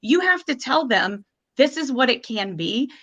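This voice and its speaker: A woman's voice: high-pitched, very animated, measured pace, a bit bright. A woman speaking as a marketing panelist at a workshop, painting vivid pictures to persuade. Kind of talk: workshop advice.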